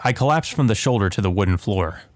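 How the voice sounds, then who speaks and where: A man's voice: lowish voice, lively intonation, fast talker, no room sound. A man giving casual commentary and reading visual novel text aloud as a let's play host, with game music behind him. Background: video game music.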